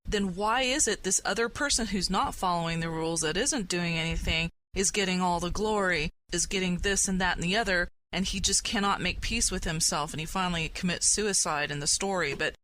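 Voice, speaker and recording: A woman's voice: fairly low pitch, brisk, hardly any pauses, a bit bright, rough recording. A woman hosting a conversational panel discussion in an earnest, reflective way. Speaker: podcast host. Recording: noticeable background noise.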